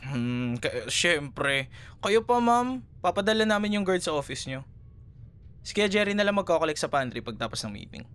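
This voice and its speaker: A man plays a Filipino café worker in a boyfriend roleplay, talkative and teasing. His voice sits a little high and swings freely up and down in a casual, conversational way. Faint music plays behind him. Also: fairly bright tone, clean tone. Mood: polite, businesslike. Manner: chatty, playful, casual conversational. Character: chatty, teasing male café worker meeting an ex. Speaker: voice actor in a roleplay audio. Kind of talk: ASMR boyfriend roleplay, naturalistic acting.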